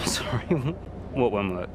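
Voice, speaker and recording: An actor playing a smug, needling rival racing driver in a video game cutscene, mocking and falsely innocent. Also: male voice, on the low side, a little slow, very noisy, lightly acted. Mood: smug, feigned innocence.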